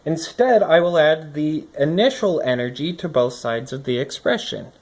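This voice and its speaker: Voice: mid-range voice, expressive, normal speed, darkish tone. A person reading a scripted lesson aloud, explaining it with think-aloud asides, recorded indoors on a phone at a desk. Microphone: phone recording from above the desk.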